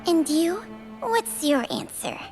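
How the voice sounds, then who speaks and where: An ancient, world-weary snake spirit for a fantasy game, high in pitch and unhurried. The delivery is wistful with a playful edge, over clearly audible music. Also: female voice, big pitch swings, full character voice. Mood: wistful resignation. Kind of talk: dramatic fantasy game voice acting.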